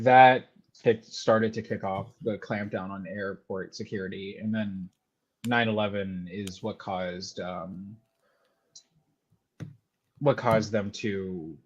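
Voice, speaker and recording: A man's voice: fairly low pitch, lo-fi sound. A man chatting casually in an informal, rambling, sweary way. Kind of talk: casual chat.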